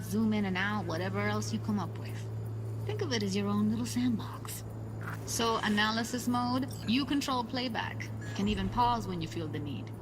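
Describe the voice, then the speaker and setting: A woman's voice: medium pitch, lightly acted. Casting: actress for self-assured female tech editor in a game, mid-range, slangy tutorial delivery, ambient noise behind. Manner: slangy, instructing, casual. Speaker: tutorial guide in a video game. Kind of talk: casual game dialogue, instructing.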